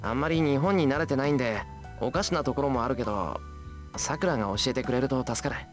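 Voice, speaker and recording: A man's voice: medium pitch, rapid-fire, music in the background, close to an everyday voice. A man playing an earnest, plain-spoken teenage schoolboy in a Japanese visual novel. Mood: reassuring.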